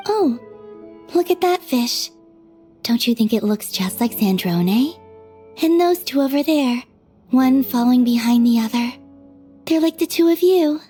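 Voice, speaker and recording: A woman playing a gentle, dreamy young maiden in an anime video game, speaking softly and wistfully. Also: high voice, expressive, unhurried, pauses often. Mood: quietly delighted. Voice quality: soft, breathy. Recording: faint music behind.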